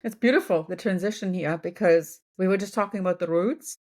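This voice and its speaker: A woman's voice: on the low side, a little fast, darkish tone. A middle-aged woman from Germany with a German accent, a native German speaker, giving motivational advice in a conversational interview and often checking in with the listener. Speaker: business and wellness coach, podcast guest.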